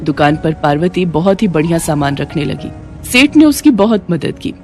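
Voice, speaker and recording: A woman's voice: low voice, very animated, normal speed, a little mellow, soft background music. A woman reading scripted moral-story narration as the storyteller.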